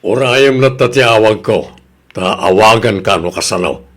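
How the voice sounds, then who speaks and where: A man's voice: fairly low pitch, a little slow, natural voice. A man with an Ilocano accent playing a money-minded jeepney owner, a casual and self-interested boss in a comedy radio drama. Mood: offhand.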